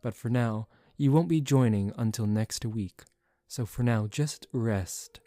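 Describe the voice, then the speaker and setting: Man acting as a slow, soft-spoken vampire aristocrat. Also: mid-range voice, no room sound, faint music behind, natural voice. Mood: guarded, calm. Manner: calm, measured, formal, gently commanding. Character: composed, aristocratic young male vampire, protective and secretive. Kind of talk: soft-spoken ASMR roleplay.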